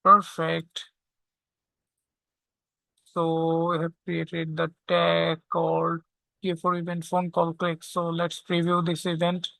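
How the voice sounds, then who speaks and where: Slow, flat-toned tutorial instructor with slight creak. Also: medium pitch, dry recording, poor audio. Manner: checks understanding with frequent "okay?". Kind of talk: step-by-step software tutorial.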